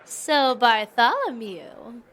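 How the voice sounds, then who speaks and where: A woman playing a composed, gracious young leader in an audio drama, measured and gently formal. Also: a little high, big pitch swings, full character voice. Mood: playful.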